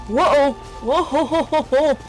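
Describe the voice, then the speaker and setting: Excitable high-voiced woman playing a game. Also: drawn-out words, over music, rough recording. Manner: excitable, exclaiming, swearing, talks to herself. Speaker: game streamer. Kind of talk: casual gameplay commentary.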